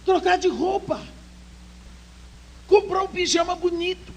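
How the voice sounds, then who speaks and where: A woman's voice: extremely high pitch, fairly even intonation, measured pace, gravelly. An older woman speaking at a church women's conference, telling a personal story with feeling, dropping to near-whispers and rising to urge her listeners on. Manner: heartfelt storytelling, swings between soft near-whispers and emphatic exhortation.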